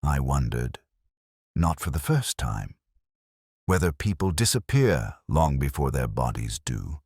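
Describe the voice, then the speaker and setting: A man playing a melancholic, introspective police inspector, narrating his case in a slow, measured, literary first person for a radio-style mystery drama. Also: rumbling low, sing-song, gravelly, darkish tone, husky, no echo, studio quality, exaggerated voice. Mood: brooding, regretful. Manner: measured, brooding, solemn.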